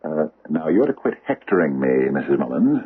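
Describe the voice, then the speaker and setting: An actor playing an aging, pompous judge with hesitant, formal phrasing in an old-time radio drama. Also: male voice, extremely low pitch, sing-song, normal speed, slightly rough, heavily acted. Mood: grumbling, dry. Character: aging pompous judge, ponderous, secretive and grumbling. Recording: muffled, band-limited vintage radio recording.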